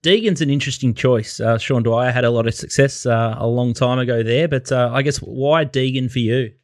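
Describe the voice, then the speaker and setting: A man's voice: mid-range voice, fairly quick, no echo, studio quality. A man hosting a podcast in a casual style, full of small fillers. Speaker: podcast host. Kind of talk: podcast chat.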